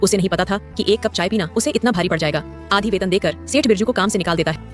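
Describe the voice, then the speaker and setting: Fast-paced storybook narrator, mid pitch, light music behind. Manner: brisk, plain storytelling.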